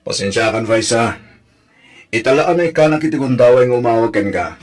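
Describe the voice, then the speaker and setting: A man's voice: mid-range voice, lively intonation, fairly quick, close to an everyday voice. An actor playing a devoted young male sweetheart in an Ilocano radio drama, speaking earnestly and reassuringly with an Ilocano accent. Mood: earnest, affectionate.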